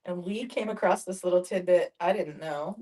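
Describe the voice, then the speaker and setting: Lowish-voiced woman sharing, slightly distant. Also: normal speed, darkish tone. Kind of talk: conversational storytelling in a group.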